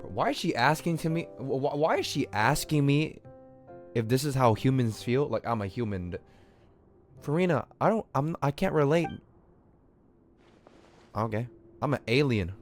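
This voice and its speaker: A man's voice: medium pitch, lively intonation, normal speed, long pauses. A man streaming a game live, chatting casually in slang and repeating himself for emphasis. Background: game music.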